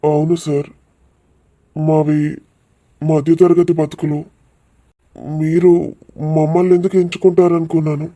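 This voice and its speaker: A middle-aged, humble father in a village cartoon, played by a man. He speaks in a slightly high but very mellow voice with little melodic movement. His manner is respectful and anxious. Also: flat delivery, a little slow, long pauses, natural voice. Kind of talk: cartoon village drama dialogue.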